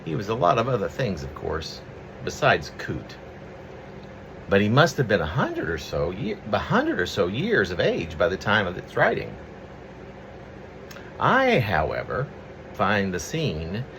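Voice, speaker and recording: Male poet, low-pitched, swooping intonation, casual and halting delivery, home recording with noticeable steady room hum. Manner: rambling, halting, conversational asides. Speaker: poet reading his own work. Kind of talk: casual preamble and poetry reading.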